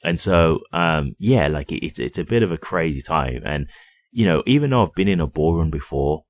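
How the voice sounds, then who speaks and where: Really deep voiced guy doing casual youtuber commentary, off the cuff, dry close sound. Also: animated, moderate pace, no room sound. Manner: conversational, repeatedly checks in with "right?". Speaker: crypto YouTuber. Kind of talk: casual unscripted commentary to viewers.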